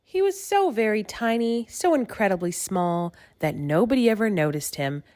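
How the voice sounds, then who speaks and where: A woman giving an expressive read-aloud narration of a rhyming children's story. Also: mid-range voice, normal speed, no room sound. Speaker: storybook reader.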